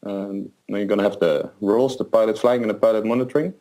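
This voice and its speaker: Man, lowish voice. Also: fast talker. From Netherlands. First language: Dutch. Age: late 20s. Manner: relaxed, explains step by step with self-corrections. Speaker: airline pilot guest. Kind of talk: casual interview.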